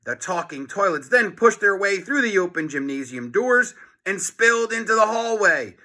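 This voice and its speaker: Very bright, animated male storybook reader. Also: sits high, normal speed. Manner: dramatic, animated asides to young listeners. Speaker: children's book reader. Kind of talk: expressive storybook narration.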